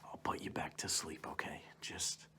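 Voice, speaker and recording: A man playing a goofy, menacing kidnapper in an ASMR roleplay, coaxing and mock-reassuring in a breathy, hushed whisper. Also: moderate pace, close to an everyday voice. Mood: hushed reassurance. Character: goofy menacing male kidnapper soothing his captive to sleep.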